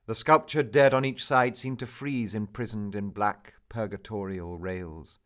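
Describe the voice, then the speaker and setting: Slow, low-voiced man reciting poetry. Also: fairly bright tone. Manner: solemn, theatrical, measured delivery with drawn-out phrases. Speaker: poetry reader. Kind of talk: dramatic recitation of a narrative poem.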